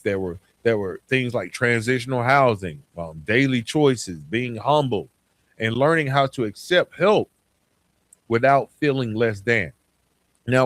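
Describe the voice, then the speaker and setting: A man hosting a podcast in a reflective monologue, speaking earnestly and with deliberate emphasis straight to his listeners. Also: mid-range voice, no room sound. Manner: earnest, addresses listeners directly as guys. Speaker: podcast host.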